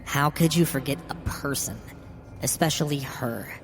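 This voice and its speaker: A man voicing a bitter, grieving old mother in a horror story, wounded and resentful, confessing haltingly. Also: medium pitch, pitched above the performer's own voice, lightly acted. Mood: hurt disbelief. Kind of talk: dramatic horror story reading. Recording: long hall reverb. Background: music.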